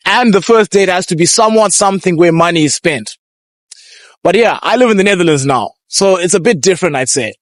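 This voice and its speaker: A man's voice: high voice, animated, a little fast, no echo, clean studio sound. A man hosting casual street interviews outdoors, chatty and laughing often. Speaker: host.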